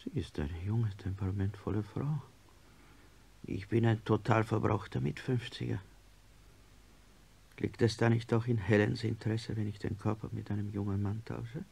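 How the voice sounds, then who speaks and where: Gravelly, stubborn middle-aged male industrialist. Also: on the low side, steady tone, moderate pace, a little mellow. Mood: urgent, insistent. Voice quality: gravelly, husky. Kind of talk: German radio drama acting.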